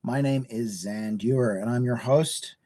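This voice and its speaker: A middle-aged man from Australia hosting a live home-buying webinar, upbeat and chatty with frequent fillers, a little hoarse from a cold. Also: medium pitch, measured pace, warm tone.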